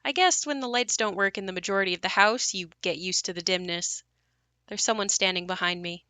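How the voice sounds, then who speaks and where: An actress plays a young woman narrating memories of a haunted house in an audio drama. Her voice is clear-edged and bright, recorded close and dry. She confides in a matter-of-fact, wry and reflective way. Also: mid-range voice, brisk, no echo, lightly acted. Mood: calm, wistful. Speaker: narrator and lead of an audio play. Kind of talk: first-person dramatic monologue.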